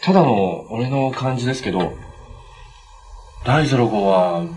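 A young man acting in a TV drama as a cheerful, selfless hero, speaking naturally in a gentle, earnest way. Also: mid-range voice, lively intonation, measured pace, close to an everyday voice. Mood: serious, earnest. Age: young adult. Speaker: actor in a superhero TV series. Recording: background noise, some reverberant scenes.

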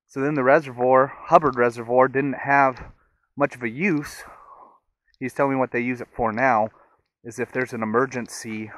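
A man's voice: mid-range voice, a bit bright, no room sound. A man narrating a hike in a casual, rambling, conversational way while out on an open trail. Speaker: vlogger.

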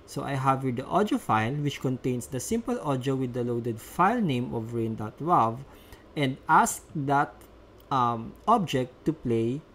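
A man's voice: mid-range voice, a little hiss or hum. A man presenting a step-by-step instructional screencast as a tutorial narrator.

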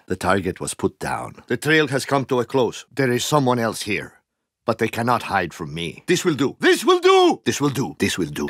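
An actor playing an intense, relentless special-forces hunter in a video game, delivering terse, ominous lines. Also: male voice, mid-range voice, a bit bright, breathy edge, dry recording, clean studio sound, full character voice. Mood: urgent shouting, then low, menacing.

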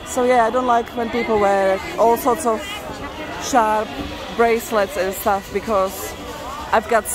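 A woman with a slightly high voice records a casual walking-tour vlog. She sounds enthusiastic and full of wonder as she chats and comments. Around her is the clear bustle of a crowded street with vehicles. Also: steady tone, measured pace, rough recording. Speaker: travel vlogger. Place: outdoors on a crowded market street. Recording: outdoor street with heavy crowd and traffic. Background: busy crowd, vehicles.

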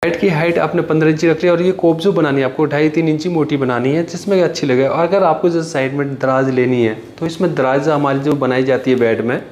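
A young man giving a plain, pause-filled walkthrough tutorial on furniture he built, filmed on a handheld phone inside a finished bedroom. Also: a little high, fairly even intonation, darkish tone. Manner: plain explanatory talk with frequent "jaise ki" and pauses. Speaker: carpenter showing his furniture work. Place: finished bedroom with hard floor and walls. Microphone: handheld phone camera. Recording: echoey room with faint ambient noise.

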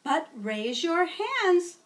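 Storybook role: kindly old schoolmistress played by a woman, slow, sing-song delivery, patient with little ones. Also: very high-pitched, sing-song, pitched above the performer's own voice, full character voice. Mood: kindly instructing. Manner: sing-song, patient, addressing small children.

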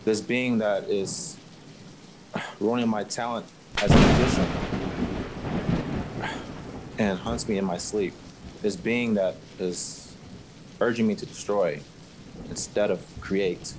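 An actor playing a tormented musician haunted by a sinister being, brooding and halting in 1940s radio horror style. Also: male voice, on the low side, steady tone, slight background noise, lightly acted. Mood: anguished, brooding.

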